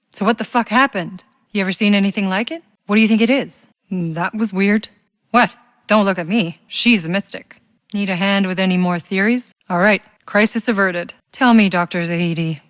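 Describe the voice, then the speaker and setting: An actress playing a tough, sardonic, battle-hardened soldier, the protagonist of a video game, with a blunt, wry delivery. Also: female voice, lowish voice, no room sound, studio quality, natural voice. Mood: wry, casual. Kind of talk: video game character voice lines.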